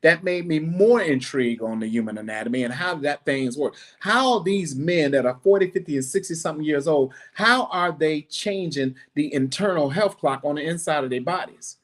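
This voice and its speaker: A middle-aged man hosting a podcast, giving a blunt, preachy monologue with animated asides. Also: fairly high pitch, normal speed, few pauses. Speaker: podcast host. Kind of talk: casual monologue.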